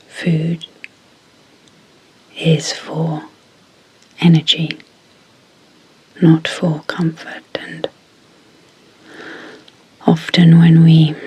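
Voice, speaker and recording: A woman speaking in a soft, breathy whisper as an ASMR sleep hypnosis guide, deliberately and in short phrases with pauses. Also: low voice, rough recording. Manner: slow, deliberate, pausing between short phrases.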